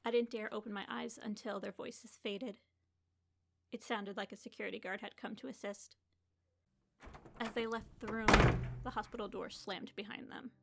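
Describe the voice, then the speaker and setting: A woman's voice: medium pitch, level tone, normal speed, gravelly, noisy background, lightly acted. A woman playing a shaken but defiant young hospital patient who narrates her own story in an audio drama. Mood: tense, reflective. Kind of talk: audio drama acting with first-person narration.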